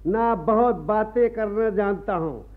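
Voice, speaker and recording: Shrill, greedy village moneylender. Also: male voice, measured pace. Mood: stern, threatening. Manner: taunting, repeats a pious catchphrase. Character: greedy, wheedling male Brahmin moneylender, pompous village bully. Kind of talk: Hindi radio play acting. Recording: muffled lo-fi radio archive recording.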